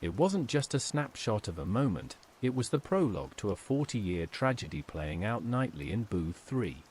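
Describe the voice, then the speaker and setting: Man narrating horror, expressive pitch swings. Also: normal speed, slight background noise. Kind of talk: scripted horror story narration.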